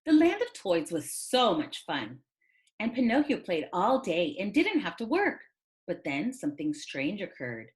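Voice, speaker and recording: A woman reading a children's story aloud as a teacher, with expressive, dramatic delivery. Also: mid-range voice, a little slow.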